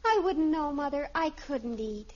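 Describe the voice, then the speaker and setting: High voiced american teen girl, dreamy and pompous, 50s radio sitcom, muffled old recording. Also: animated, measured pace, a little mellow. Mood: dreamy, affected. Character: lovestruck, melodramatic teenage daughter, affectedly sophisticated. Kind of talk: 1950s radio sitcom acting. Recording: dull vintage radio recording.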